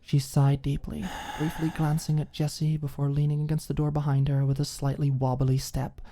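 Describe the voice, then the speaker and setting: A woman's voice: low-pitched, level tone, moderate pace, mellow, a little hiss or hum. A woman narrating a scripted story in the style of an ASMR audiobook.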